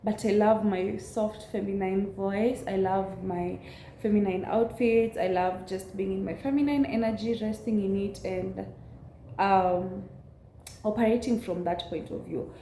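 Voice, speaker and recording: A woman in her twenties, raspy from a cold, chatting earnestly to camera in a vlog. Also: medium pitch, fairly even intonation, dark tone, roomy sound, slight background noise. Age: 20s. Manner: earnest, affirming, unhurried. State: recovering from a cold. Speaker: vlogger. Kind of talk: casual chat to camera.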